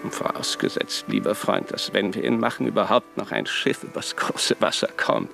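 An actor playing a haughty, condescending bishop in an old radio drama, lecturing in a gravelly voice. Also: male voice, on the low side, huge pitch swings, moderate pace, over music, full character voice. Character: haughty scheming bishop, older man, commanding.

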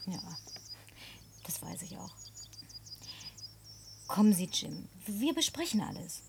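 A woman's voice: medium pitch, sing-song, slow, gravelly, darkish tone, a little hiss or hum, heavily acted. A woman acting in a German radio play as a headstrong, challenging companion who is direct and probing. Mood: conciliatory, probing. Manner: direct, probing, formally addressing her companion. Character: headstrong, challenging woman sharing a home with a drifter.

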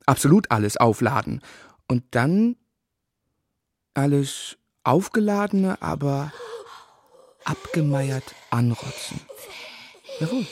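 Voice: medium pitch, big pitch swings, dry recording, heavily acted. Eine Stimme aus einem absurden Hörspiel spielt einen grüblerischen, pedantischen Sprachspieler, der stockend Wörter wiederholt und hinterfragt. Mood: insistent. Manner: deliberate, halting, repeating and questioning words. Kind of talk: experimental absurdist German radio play, sound-poetry recitation.

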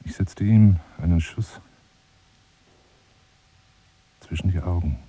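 A lonely, eccentric man wooing a woman in a radio play. His voice is deep and velvety dark. He speaks in a florid, self-dramatizing way, often sinking into hushed, confiding asides. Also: normal speed, husky, rough recording. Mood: storytelling, grave.

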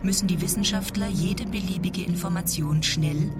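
A woman narrates a scripted documentary in a deep register. Her delivery is factual and orderly, with music clearly playing underneath. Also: steady tone, normal speed.

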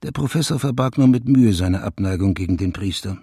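An actor playing an insistent senior policeman who also narrates a radio thriller, measured and intense. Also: low-pitched, animated, mellow, husky, no echo, clean studio sound, heavily acted. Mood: hushed, tense. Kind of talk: radio drama dialogue and first-person narration.

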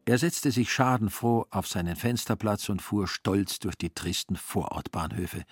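A man narrating a literary radio play with deadpan, dry irony. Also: low voice, breathy edge, no echo. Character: male storyteller, deadpan and drily ironic.

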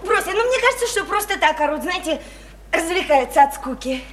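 A woman's voice: very high-pitched, animated, fairly quick, a bit bright. An actress in a Russian radio play playing a naive, chatty, insistent provincial woman, eager and coaxing, with colloquial, repetitive talk. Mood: dismissive, soothing. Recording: roomy, slightly noisy radio play recording.

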